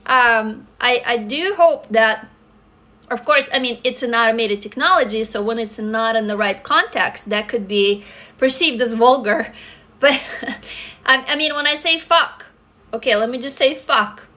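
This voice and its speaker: A woman's voice: a little high, unhurried, bright, forceful tone. A woman in her forties with a Russian accent, chatting candidly and swearing freely on a phone livestream from her desk indoors. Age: 40s. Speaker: livestream host. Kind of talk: casual livestream chat. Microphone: phone camera close up.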